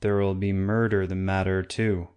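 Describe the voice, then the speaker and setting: A woman's voice: extremely low pitch, level tone, darkish tone, clear, resonant tone, pitched below the performer's own voice. Woman doing a snarling old hunchback man. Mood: menacing. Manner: slow threatening snarl. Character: ugly old humpbacked man, snarling and menacing. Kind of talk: audiobook reading.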